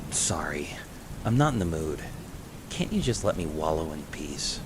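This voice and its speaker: A man's voice: very deep voice, sing-song, measured pace, noisy background, heavily acted. A man voicing an insecure, bitter young male trainer in a fan fiction reading, sullen and self-deprecating.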